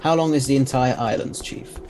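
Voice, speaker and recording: Brisk, knowing sci-fi hero played by a man, warm dark tone, average pitch, faint background noise. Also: natural voice. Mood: assured. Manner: assured, explanatory. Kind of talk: audio drama acting.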